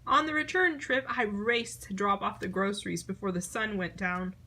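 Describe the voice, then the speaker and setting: A woman reading a children's picture book aloud in an expressive storytelling manner. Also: medium pitch, moderate pace, a little hiss or hum. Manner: expressive storytelling, acting out short exclamations.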